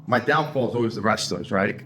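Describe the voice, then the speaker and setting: A man's voice: on the low side, brisk, pushed and bright, slight background noise. A young adult man from Connecticut, a professional fighter as interview guest, talking bluntly with swearing, restarts and fillers. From Greenwich, Connecticut. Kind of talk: casual interview talk.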